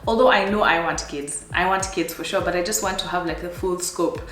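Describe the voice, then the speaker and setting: A woman in her thirties with a Kenyan accent, chatting casually to her viewers in a life-update vlog, animated and laughing often. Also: fairly low pitch, brisk, echoey room. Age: 30s. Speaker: vlogger.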